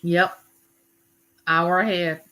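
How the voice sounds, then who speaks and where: A woman's voice: fairly low pitch, level tone, very slow, pushed and bright, rough recording. A woman in her fifties with a Southern US accent, chatting casually with viewers as host of her own livestream, folksy and drawn out. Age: 50s. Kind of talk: casual live chat with viewers.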